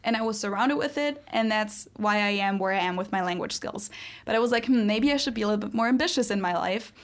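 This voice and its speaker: A young adult woman from Germany with a German accent, co-hosting a podcast in relaxed chat, full of "like" and "um". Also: mid-range voice, fast. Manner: chatty, fillers like "like" and "um", frequent laughs. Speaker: podcast co-host. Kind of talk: casual chat.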